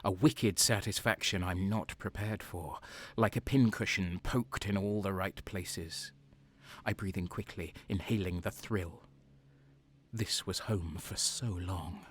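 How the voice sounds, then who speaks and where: A man's voice: low voice, fairly even intonation, measured pace. A man playing a bitter, hardened traitor soldier, narrating an audio drama in the first person with a grim, brooding manner. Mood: intense, conflicted. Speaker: narrator and protagonist.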